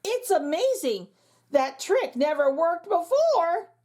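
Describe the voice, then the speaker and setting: A woman's voice: very high voice, big pitch swings, slow, a little mellow, voice pushed up, full character voice. A woman voicing a clumsy, bumbling male stage magician in a showy, flustered manner.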